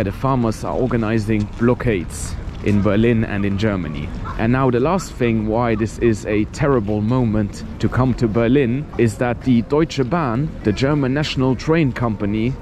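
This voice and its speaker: A man in his thirties from Luxembourg, narrating a walking travel vlog in a relaxed, chatty way, filling pauses with "and yeah". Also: medium pitch. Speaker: travel vlogger. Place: outdoors on cold city streets. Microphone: handheld camera at arm's length. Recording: outdoor street noise, traffic.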